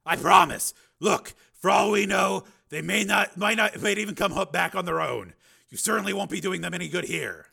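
Man doing a stern machine-man, high, strained and shouting, gravelly edge. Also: lively intonation, normal speed, exaggerated voice. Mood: firm. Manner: forceful, shouting, insistent. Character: hard-edged adult man turned machine, stern. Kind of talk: dramatized audiobook reading.

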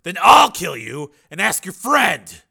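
A man voicing a tough, menacing male vigilante in an audiobook thriller, curt, forceful and commanding. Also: fairly high pitch, sing-song, moderate pace, gravelly, breathy edge, pitched above the performer's own voice, exaggerated voice.

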